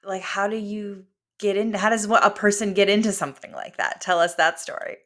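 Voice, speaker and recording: A woman hosting a podcast, a little hoarse from being sick, introducing her guest and asking questions. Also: medium pitch, a little fast. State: hoarse from illness. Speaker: podcast host.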